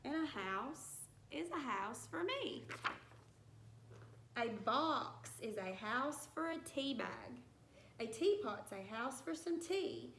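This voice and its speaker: A woman teacher reading a rhyming picture book aloud to young children, with deliberate, singsong storybook phrasing. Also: high-pitched, animated, fairly bright tone. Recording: roomy echo with some background noise.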